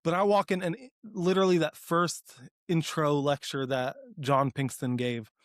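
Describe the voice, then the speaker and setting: A man in his thirties from Texas, talking eagerly and earnestly as an interview guest, full of "like" fillers and quick restarts. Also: fairly high pitch, level tone, no echo, clean studio sound. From Dallas, Texas, US. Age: 30s. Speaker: guest, applied research leader. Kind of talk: conversational interview.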